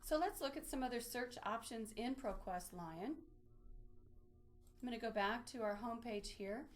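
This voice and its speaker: A woman presenting an instructional software walkthrough, explaining methodically step by step in a lightly improvised way. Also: on the high side, moderate pace. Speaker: presenter of a library database tutorial.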